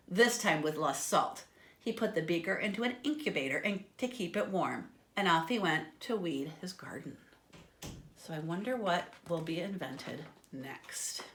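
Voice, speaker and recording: A woman reading a children's story aloud in an expressive, dramatic way, with asides to her listeners. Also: on the low side. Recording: echoey, roomy sound.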